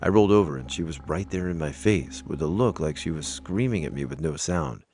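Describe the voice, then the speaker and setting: A man with an extremely deep voice narrates scary stories. His delivery has a gravelly, creaky edge. Soft music plays behind the scripted storytelling. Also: animated, a little fast. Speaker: narrator reading online stories aloud.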